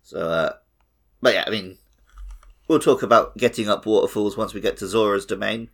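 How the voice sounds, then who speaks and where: A man's voice: fairly low pitch, normal speed, vocal fry. A man co-hosting a gaming podcast in a casual, conversational way. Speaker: podcast host. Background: music.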